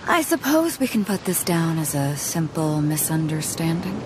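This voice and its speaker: A woman voicing a confident, easygoing female ship captain in a video game cutscene, speaking in a relaxed, knowing way. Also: low-pitched, moderate pace, slight background noise, low-quality recording. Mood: sly. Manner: relaxed, knowing, laughs often. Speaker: fleet captain. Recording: game music under the voice.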